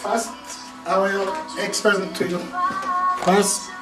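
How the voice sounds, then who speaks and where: A man's voice: high-pitched, steady tone, takes it slowly, distant mic. Congolese french accent guy vlogging at home, lots of uh and repeats, roomy echo, music playing behind. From Democratic Republic of Congo. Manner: rambling, repetitive, many fillers. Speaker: video host. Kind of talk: casual home vlog. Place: small home bathroom, indoors. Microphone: camera mic from across the room.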